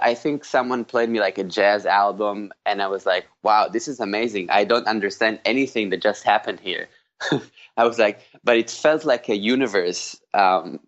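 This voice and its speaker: A man in his thirties with an Israeli accent, chatting as an interview guest in a loose, halting way. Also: fairly low pitch, fairly bright tone, highs cut off. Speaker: podcast guest, jazz guitarist. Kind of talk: casual conversational interview.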